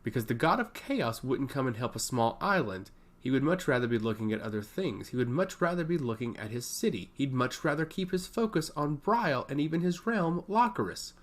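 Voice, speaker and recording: A man's voice: mid-range voice, expressive, fairly quick, few pauses, natural voice. A man voicing a skeptical, dismissive female royal advisor in a fantasy story, blunt and scornful.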